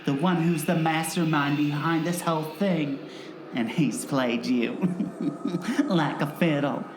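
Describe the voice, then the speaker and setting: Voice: mid-range voice, slow, background noise, close to an everyday voice. A performer playing a theatrical, gloating villain diva in an audio drama, with a camp, menacing drawl. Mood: smug. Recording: roomy echo, music underneath.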